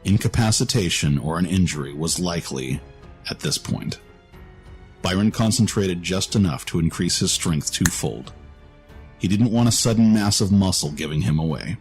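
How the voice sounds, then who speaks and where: Male narrator for scripted fantasy fiction; very low register, background music. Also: expressive, normal speed.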